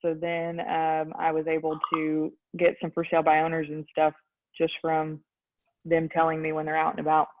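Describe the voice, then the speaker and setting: A woman's voice: deep voice, completely flat delivery, moderate pace, slight background noise. A young adult woman with a Texan accent, a real estate agent answering interview questions in a youthful voice, earnest and full of ums. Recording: tinny phone-like line.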